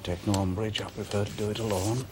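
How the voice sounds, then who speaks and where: An actor playing a man on duty who speaks quietly and politely but firmly. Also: fairly low pitch, level tone, fast, noisy background, natural voice. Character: man on duty keeping watch. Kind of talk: TV drama dialogue.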